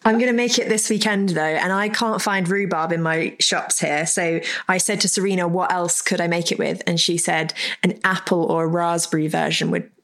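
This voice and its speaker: A woman co-hosts a relaxed chat. She chimes in quickly with reactions and short asides. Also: lowish voice. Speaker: co-host. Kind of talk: casual chat.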